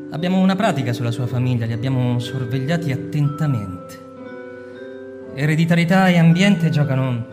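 An actor playing a cold, persuasive male fire captain lecturing a subordinate in a radio drama, smooth and insistent, sometimes hushed. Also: medium pitch, in a large hall, lightly acted.

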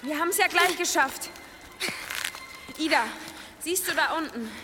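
An actress playing a plucky, excitable young girl in a medieval fantasy radio play. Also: high voice, a little slow, noisy background. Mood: eager, teasing. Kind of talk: German radio play acting. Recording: echoing cave reverb, loud music underneath.

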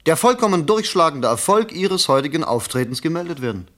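An actor playing a cynical newspaper reporter, speaking in an eloquent, measured, persuasive way in a 1970s German radio play. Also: male voice, fairly high pitch, big pitch swings, fairly bright tone. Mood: suave, persuasive. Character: smooth, cynical newspaper reporter coaching a politician.

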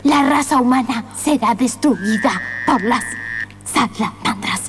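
A woman's voice: a little high, slow pace. A woman voicing a menacing, chanting salamander bent on world conquest in improvised radio comedy. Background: music.

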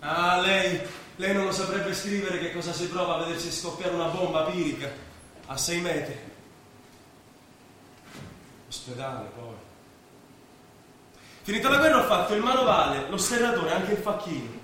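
An actor playing a rough, plain-spoken young ex-marine turned labourer in a stage play, terse and blunt. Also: male voice, sits high, moderate pace, pauses often, pushed and bright. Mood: blunt, resigned. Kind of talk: theatrical stage dialogue. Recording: distant, echoey stage recording, faint noise.

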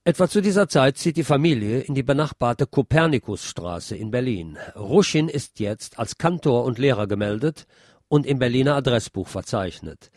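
A man's voice: medium pitch, expressive, moderate pace, darkish tone, no echo, studio quality. A man presenting a programme, reading written articles and reviews aloud. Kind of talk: read-aloud newspaper article.

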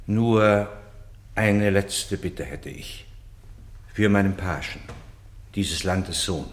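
Stoic Roman general, low slow voice, noble, measured, classical radio drama, roomy echo. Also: male voice. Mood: earnest pleading. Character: dignified defeated Roman general, stoic, loyal, pleading. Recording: echoey, roomy studio sound.

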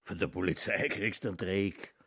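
An actor playing brash, bossy underworld men in a radio play, emphatic and theatrical. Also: male voice, deep voice, steady tone, moderate pace, creaky, bright, forceful tone, breathy edge, heavily acted. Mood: mocking.

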